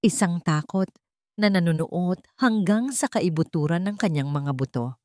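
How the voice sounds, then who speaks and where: A woman's voice: on the low side, expressive, measured pace, warm tone, no echo, studio quality. A woman reading a scripted dramatic story as an audiobook narrator.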